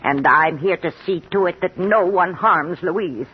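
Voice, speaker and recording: A woman's voice: lowish voice, a little slow, breathy edge. An actress playing a stern, distrustful older mother-in-law in an imperious, accusing 1950s radio drama style. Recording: muffled, hissy vintage radio recording.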